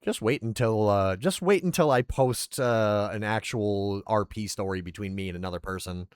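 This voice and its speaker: Rambling low-voiced male streamer. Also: moderate pace, smooth, no echo, clean studio sound. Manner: rambling and self-deprecating. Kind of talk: casual chat, reading roleplay aloud.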